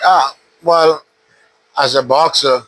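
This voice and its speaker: A man in his fifties from the Bahamas, a retired boxer calmly telling his story in an interview at a boxing gym. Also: a little high, slow pace. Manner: relaxed, steady storytelling with frequent "uh". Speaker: retired pro boxer, guest. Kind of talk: casual interview.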